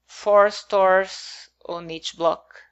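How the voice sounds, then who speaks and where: A woman's voice: mid-range voice, fairly even intonation, very slow, clean tone, lo-fi sound. A woman whose first language is not English, talking casually over her game build in a hesitant, rambling way with many fillers.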